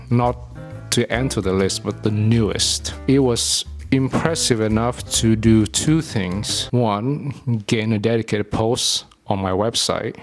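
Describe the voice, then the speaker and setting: A young man with a Vietnamese accent talks through his restaurant picks in a low, measured voice. He sounds earnest and a little formal, with the odd blunt aside. Quiet guitar music plays faintly underneath. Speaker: food reviewer. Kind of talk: scripted video narration.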